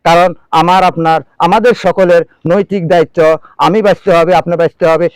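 A man's voice: high voice, steady tone, brisk, clear, resonant tone, no echo. A middle-aged man, giving an earnest, emphatic and pleading advisory talk to viewers as a farmer, repeating key phrases. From Bangladesh. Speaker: farmer and farming video presenter.

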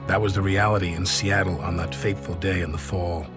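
A man acting a somber American soldier who narrates a war game cutscene. His voice is very deep, grave and deliberate, with music clearly behind it. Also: little pitch movement, lightly acted.

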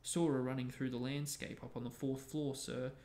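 A man's voice: medium pitch, fairly even intonation, moderate pace, gravelly, natural voice. A man voicing a gleeful, taunting poltergeist from a storybook, oily and mock-deferential. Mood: gleefully sly. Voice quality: cackling, oily.

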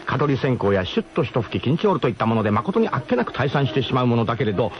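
A man tells a comic radio tale with mock-grandiose emphasis, his pitch moving in lively swings. The broadcast recording is dull and muffled, with clear noise behind the voice. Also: quick pace. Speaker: narrator of a radio drama. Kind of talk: dramatic comic first-person radio storytelling.

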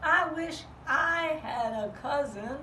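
A wistful little cow played by a woman talks in a slow, plaintive drawl, mooing softly between her sad words. Her voice sits a little lower than the performer's own, yet still fairly high. It is picked up in a roomy space from some distance away, with a faint hum behind it. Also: animated, exaggerated voice. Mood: lonely. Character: lonely young cow toy, wistful.

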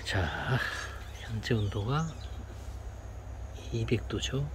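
A man's voice: fairly low pitch, drawn-out words, very noisy. A man in his thirties filming himself with a phone outdoors, narrating a casual, upbeat vlog straight to the camera. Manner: upbeat, chatty, talks to the camera. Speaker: vlogger. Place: outdoors in an overgrown yard. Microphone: phone at arm's length.

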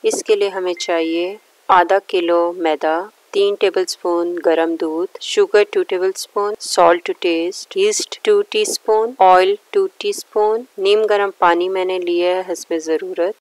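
Low, even-toned woman explaining a recipe. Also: slow, few pauses, clean tone, no room sound, rough recording. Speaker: cooking show host. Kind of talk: recipe narration, step by step.

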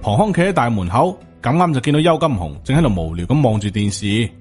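A man's voice: medium pitch, big pitch swings, fast talker, a little mellow, breathy edge, soft background music. A man with a Hong Kong Cantonese accent reads a novel aloud as a scripted audiobook narrator.

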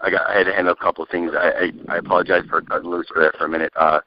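A man's voice: sits low, flat delivery, racing. A man moderating a group phone call, giving blunt, informal directions to callers. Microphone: telephone. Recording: dull phone line, crowded conference call.

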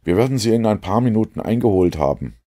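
A man's voice: fairly low pitch, animated, quick pace, mellow, pitched above the performer's own voice, lightly acted. A man voicing a detective's eager male companion in an audiobook, speaking emphatically.

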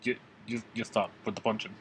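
A man playing a nervous, excitable, down-on-his-luck puppet in an improvised comedy puppet show, with a rambling, stammering, raspy delivery. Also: lowish voice, fairly quick, very noisy, close to an everyday voice. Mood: uneasy, pleading.

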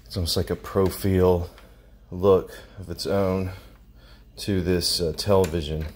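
Man, American accent, lowish pitch, casual folksy vlog delivery, faint background noise. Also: fairly even intonation, unhurried, darkish tone. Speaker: video host and presenter.

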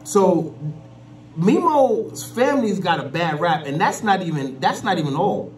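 A man's voice: high-pitched, animated, a little slow, a little mellow, slight background noise. A young American man giving slangy, informal gossip commentary to camera, peppered with conversational tags. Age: young adult. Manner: slangy, frequent "you know what I'm saying" tags. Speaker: YouTube commentator. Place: small bare room indoors. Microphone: desk condenser mic with pop filter. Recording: roomy echo, distant from mic.

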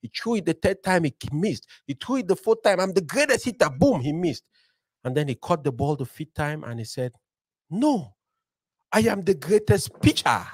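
A man in his forties, originally from Cameroon, speaking as a podcast guest in an animated, motivational style with frequent "right?" check-ins, recorded close on a studio mic. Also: a little high, normal speed, husky, no echo. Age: 40s. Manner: animated, preacher-like build-ups, frequent "right?". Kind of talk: energetic motivational storytelling. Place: bookshelf-lined podcast studio. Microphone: studio mic on boom arm.

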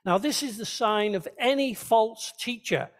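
A man's voice: high voice, a little slow. A man preaching a sermon as a pastor, teaching conversationally and checking in with his listeners. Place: church, speaking to a congregation.

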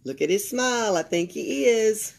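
An older woman with a Southern US accent and a slightly raspy voice reads a children's picture book aloud as a library storyteller, expressively, pausing to comment to young listeners. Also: medium pitch, animated. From Alabama, United States. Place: indoors at a library table.

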